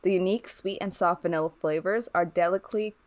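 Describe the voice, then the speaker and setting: Female podcast co-host, a bit lower in pitch, informal and conversational, as if among friends. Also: fast. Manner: informal, uses "like" often. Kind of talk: casual chat among friends.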